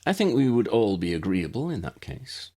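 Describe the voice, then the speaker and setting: A man acts out a wry, self-doubting uncle in a fantasy audiobook. He speaks formally in long, measured phrases, with a husky edge and varied intonation. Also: fairly low pitch, very animated, dark tone. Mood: formal, consenting.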